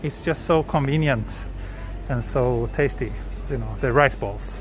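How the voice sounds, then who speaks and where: A man from southern Sweden chatting casually with viewers during a live walk, relaxed, with many ums and restarts. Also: mid-range voice, unhurried. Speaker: live-streaming walk vlogger. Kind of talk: casual live-stream chat answering viewers. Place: outdoors on city streets at night. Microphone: handheld phone camera. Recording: street noise behind the voice. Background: traffic and street ambience.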